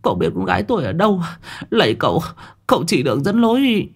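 A man's voice: on the high side, wildly swooping pitch, fast, breathy edge, pitched above the performer's own voice, exaggerated voice. A man voicing a grieving middle-aged mother who pleads through sobs in a quavering voice. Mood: pleading. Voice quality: quavering, sobbing.

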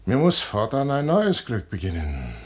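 An actor playing a proud, weary commander prince with a declamatory, commanding delivery in a classical radio drama. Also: male voice, medium pitch, huge pitch swings, normal speed. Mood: weary, reflective. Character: proud, weary aging male commander and prince, superstitious yet defiant. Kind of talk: classical German stage drama, radio play acting.

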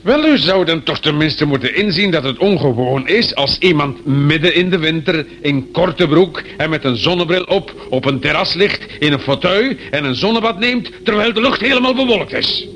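An actor in a radio play playing a fussy, officious police inspector, insistent and mock-courteous, on a noisy old recording. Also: male voice, a little high, animated, moderate pace, few pauses, a bit bright. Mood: incredulous. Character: officious, exasperated, fatherly male police inspector.

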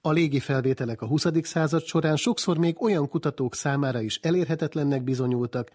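A man reading scripted radio documentary narration in a husky voice. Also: on the high side. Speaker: programme narrator. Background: soft guitar music.

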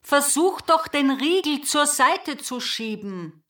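A woman's voice: high-pitched, lively intonation, fairly bright tone, heavily acted. An actress playing a patient middle-aged daughter with a Viennese accent, coaxing her confused mother in a radio drama. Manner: coaxing, slow and insistent.

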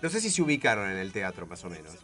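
A man's voice: deep voice, sing-song, rapid-fire, pushed and bright, faint music behind. A man, an improv performer explaining things in a casual radio interview.

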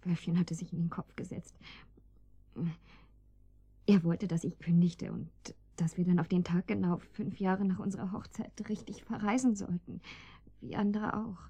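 A woman's voice: fairly low pitch, flat delivery, normal speed, dark tone, breathy edge, no room sound. A woman playing an anxious, naive housewife in a radio drama, speaking hesitantly and defensively. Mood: wistful, halting. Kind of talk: German radio drama acting.

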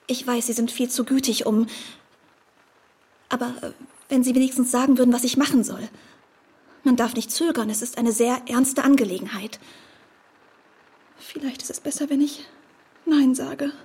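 A woman's voice: a little high, steady tone, normal speed, pauses often, dark tone, slightly rough. An actress playing a naive, wavering young woman eager to please in a period radio drama, hesitant, breathless and girlish. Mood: anxious, hesitant.

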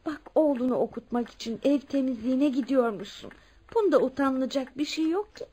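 A woman's voice: high voice, animated, fairly quick, dark tone, slightly rough. An actress playing a kindly, insistent older well-off lady in a radio drama, speaking. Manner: motherly, addresses others as her children. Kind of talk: Turkish radio drama acting.

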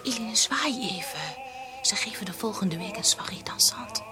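A woman's voice: fairly high pitch, lightly acted. Actress as bossy society lady, music behind. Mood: brisk. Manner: brisk, genteel, disapproving. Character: bossy, critical society lady, married older sister. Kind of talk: Dutch radio period drama.

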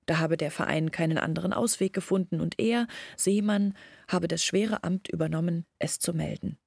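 A troubled young village woman telling her story in a literary radio drama. Her voice sits a little low, with audible fry and a husky grain. The sound is very dry and close. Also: moderate pace, darkish tone, no room sound. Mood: resigned sorrow. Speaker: actress narrating in a literary radio play. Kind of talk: dramatic first-person storytelling in a radio play.

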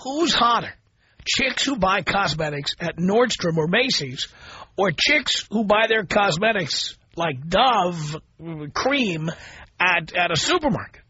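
Brash, high-voiced male radio host. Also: very animated, takes it slowly, sharp tone, breathy edge, no room sound, highs cut off. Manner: brash, opinionated, blunt. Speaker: radio talk show host. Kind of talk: call-in radio talk.

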